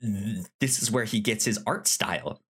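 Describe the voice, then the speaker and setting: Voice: mid-range voice, moderate pace, fairly bright tone, husky. A podcast co-host casually discussing film, often stammering and repeating words and sounds.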